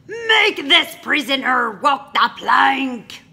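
A woman's voice: high-pitched, very animated, slow, vocal fry, voice pushed up, heavily acted. A woman voicing a bossy male pirate bunny captain who barks orders in a storybook read-aloud. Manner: shouting orders.

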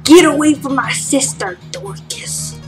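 A protective, hostile brother voiced by a woman from a visual novel. He is curt and scornful toward others. Music plays clearly behind the voice. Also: high-pitched, measured pace, voice pushed up.

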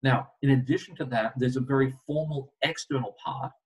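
A middle-aged man giving a webinar presentation on a video call, telling stories with lively asides. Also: mid-range voice, brisk, breathy edge. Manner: animated storytelling with asides. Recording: distant video call audio.

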